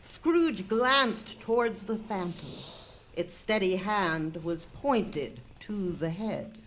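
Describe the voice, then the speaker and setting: A woman's voice: mid-range voice, animated, slow pace, fairly bright tone, slight background noise, rough recording. A woman narrating a live radio drama in a solemn storytelling style.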